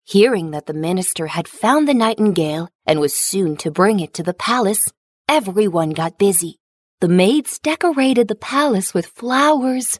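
A woman's voice: mid-range voice, dry recording. Woman narrating a fairy tale, close and dry. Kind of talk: scripted children's storybook narration.

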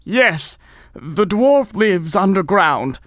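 Man acting a slow, gentle, big friendly bear. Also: high-pitched, sing-song, no room sound, clean studio sound, full character voice. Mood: solemn. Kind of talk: children's storybook audio drama.

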